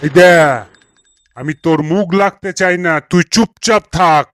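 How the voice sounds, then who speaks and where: A man's voice: high voice, normal speed, fairly bright tone, no echo, clean studio sound. A man with a West Bengal accent playing an irritable middle-aged village uncle who grumbles and scolds sarcastically in a comedy cartoon. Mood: irritated.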